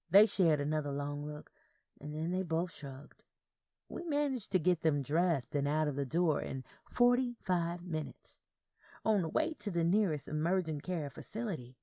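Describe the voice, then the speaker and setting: Woman, expressive story narration, gravelly creak, dry close sound. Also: lowish voice, no echo. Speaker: audiobook narrator. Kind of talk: scripted narration of Southern Gothic fiction.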